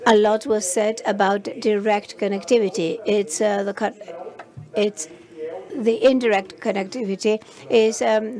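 A woman giving live simultaneous interpretation of a conference session, halting often and restarting phrases as she renders the speakers. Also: mid-range voice, vocal fry, darkish tone. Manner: halting, frequent restarts and fillers while rendering speech.

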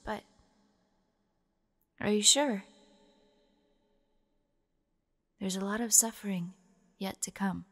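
Audio drama: woman as tender spirit of a protective older sister, soft creaky voice in long ghostly reverb. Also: medium pitch. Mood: gentle, solemn. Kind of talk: audio roleplay acting.

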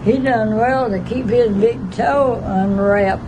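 A woman's voice: on the high side, slow, background noise. A woman in her nineties with a Texan accent, reminiscing plainly and laughing often on an outdoor patio. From Texas. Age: 90s. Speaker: interviewee. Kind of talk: casual reminiscing.

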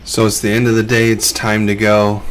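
A man's voice: fairly low pitch, monotone, moderate pace, a little hiss or hum. A middle-aged man, a reseller who hosts a vlog, narrating over his footage in a laid-back, conversational way.

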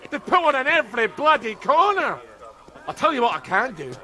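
An actor playing a guilt-ridden, confused middle-aged Scottish drunk in a video game, rough-spoken and halting. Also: male voice, very high voice, sing-song, bright, forceful tone, full character voice. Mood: belligerent. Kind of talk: dramatic video game acting.

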